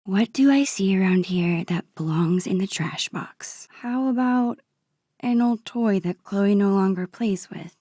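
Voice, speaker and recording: A gentle, introspective teenage girl from a video game. Her voice is soft and husky with breath, recorded very dry and close. She takes her time over each phrase, hesitant and wistful, as if musing to herself. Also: medium pitch, no room sound. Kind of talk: naturalistic video game dialogue and inner monologue.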